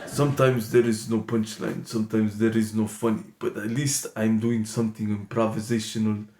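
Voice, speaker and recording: A man doing a deep suave wolf spirit. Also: level tone, mellow, echoey room, slight background noise, close to an everyday voice. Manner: slow, deep, mock-smooth. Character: suave low-voiced male wolf spirit.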